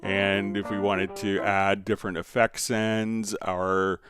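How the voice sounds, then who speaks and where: A man hosting a live stream, talking viewers through software in a casual, conversational, explanatory way. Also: on the low side, little pitch movement, slow, music in the background. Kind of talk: casual live tutorial and chat.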